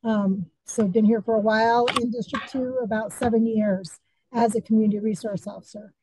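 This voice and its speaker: A woman's voice: a little high, steady tone, fairly quick, background noise. A woman from Denver who works as a community resource officer, introducing herself in an upbeat, chatty way.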